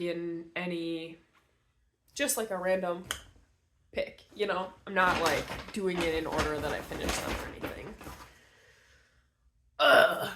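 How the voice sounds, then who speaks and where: A young woman from Wisconsin, slightly hoarse from a cold, chatting to camera at home in a rambling, self-deprecating way as a beauty vlogger. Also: lowish voice, a bit bright, slight background noise. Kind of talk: casual chat to camera. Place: at home indoors.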